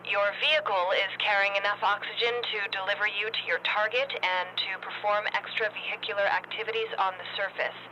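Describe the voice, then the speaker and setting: A woman playing a formal, measured and unyielding Soviet mission controller in a radio drama, heard through a radio filter. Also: medium pitch, fairly even intonation, bright, forceful tone. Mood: solemn, official. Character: composed, unyielding Soviet female mission controller delivering orders. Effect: radio transmission filter. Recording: muffled, noisy radio transmission.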